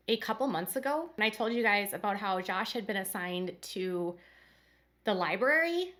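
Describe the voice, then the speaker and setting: A woman's voice: medium pitch, a bit bright. Chatty woman host with a head cold. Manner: rambling, talks straight to viewers. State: congested with a cold. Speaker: commentary channel host. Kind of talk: casual chatty commentary.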